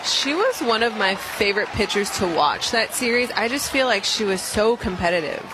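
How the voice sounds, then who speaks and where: A woman giving conversational color commentary as an analyst on a softball broadcast. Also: medium pitch, expressive, moderate pace. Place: outdoor softball ballpark broadcast position. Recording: crowd noise and music behind broadcast. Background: ballpark crowd and music.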